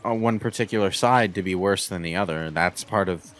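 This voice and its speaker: A man with a fairly low voice co-hosts a game commentary. He talks casually and haltingly, reasoning his way through each point in a measured manner. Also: fairly bright tone, faint music behind. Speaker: co-host of a video game commentary show. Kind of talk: casual discussion.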